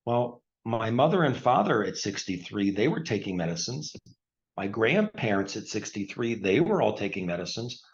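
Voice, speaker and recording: A man in his sixties with a lowish voice, walking listeners through a health product step by step. He clears his throat often and repeats points in plain terms. The recording sounds dull and lo-fi. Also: sing-song, normal speed. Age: 60s. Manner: plain explanatory talk with frequent throat clearing. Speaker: retired surgeon presenting a health product. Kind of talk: explanatory product presentation.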